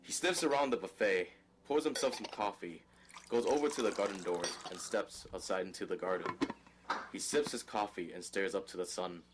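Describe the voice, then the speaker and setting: Low-voiced male narrator over music. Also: moderate pace. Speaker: narrator reading stage directions. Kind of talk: scripted narration.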